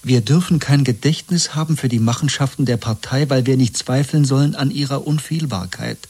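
Younger rebel male actor, breathy husky tone, mid register, earnest and urgent, 1970s radio play. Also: medium pitch, brisk, darkish tone, natural voice. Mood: urgent, insistent. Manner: urgent, pressing, intense. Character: earnest, insistent younger man, secretly rebellious, weary.

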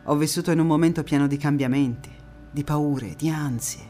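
A woman's voice: sits low, warm tone, faint music behind, close to an everyday voice. An actress as a proud, defiant partisan woman storyteller. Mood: proud, reflective. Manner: dramatic, measured phrasing with deliberate pauses. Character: proud, defiant Italian anti-fascist partisan woman recalling her youth. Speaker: stage actress in a one-woman monologue. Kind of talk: theatrical first-person storytelling monologue.

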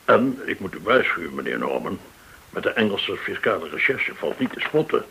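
A man acting in a radio play as a smooth, discreet broker of shady gold deals, formal, courteous and cautioning. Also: low voice, very animated, moderate pace, pushed and bright, heavily acted. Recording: hissy, noisy old radio recording.

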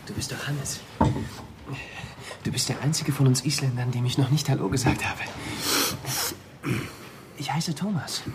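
Young male student acted by a man, radio drama: chatty, unsure, apologetic, mid pitch, noisy ambience. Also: normal speed, pauses often, natural voice. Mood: friendly, awkward. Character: eager, friendly young male student, awkward. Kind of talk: German radio drama acting. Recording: clear background ambience noise.

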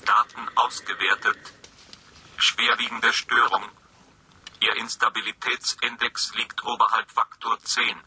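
An actor playing an impassive, formal psychiatric testing machine in a science fiction radio play, speaking in clipped clinical phrases. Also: male voice, medium pitch, little pitch movement, unhurried, sharp tone, husky, exaggerated voice, low-quality recording.

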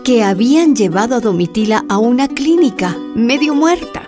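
Female narrator, steady storytelling, music bed underneath. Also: on the high side, moderate pace. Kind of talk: scripted radio drama narration.

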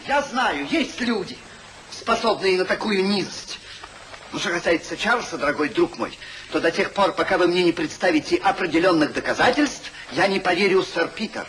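An actor playing an unctuous gentleman who feigns noble feelings, declaiming pompously in 1950s radio style. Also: fairly high pitch. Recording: noisy 1950s radio play recording.

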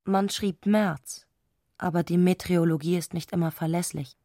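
A woman reading literary narration for a radio play in a scripted, measured storytelling style. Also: lowish voice, steady tone, dark tone, no room sound, studio quality.

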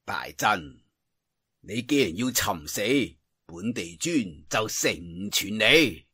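A man's voice: lowish voice, very animated, slow, bright, forceful tone, husky, pitched below the performer's own voice, full character voice. A man with a Cantonese accent voicing an arrogant, mocking martial arts master in a storybook, taunting and imperious. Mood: cold menace. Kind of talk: storybook character voice.

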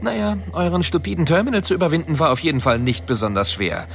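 An actor playing a rebellious, sardonic earthling with a mission in a science fiction radio drama, speaking in a smug, theatrical manner. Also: male voice, a little high, big pitch swings, quick pace, gravelly, a bit bright, soft background music, exaggerated voice. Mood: triumphant, mocking.

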